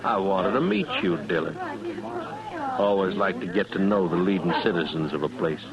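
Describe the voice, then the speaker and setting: An actor playing an arrogant, menacing gunslinger in a vintage radio Western, with a folksy, taunting American drawl. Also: male voice, low voice, moderate pace, highs cut off, lightly acted. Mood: smug, taunting. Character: menacing, arrogant gunslinger who enjoys killing. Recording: old radio recording with crowd murmur.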